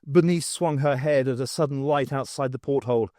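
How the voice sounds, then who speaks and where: A man's voice: medium pitch, fairly quick, a little mellow, dry recording, studio quality. A man reading scripted dramatic narration of a science fiction story as an audiobook narrator. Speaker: audiobook reader.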